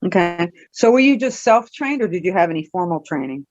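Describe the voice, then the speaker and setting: A man's voice: high-pitched, sing-song, fairly quick, no room sound, lo-fi sound. A man hosting a music interview, asking short, direct questions and giving brief affirmations.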